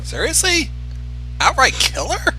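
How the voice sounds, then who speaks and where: A man's voice: extremely high pitch, huge pitch swings, measured pace, sharp tone, faint music behind, poor audio. A man giving blunt, sardonic reaction commentary in a casual way as a co-host. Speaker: co-host commenting on a fan fiction reading.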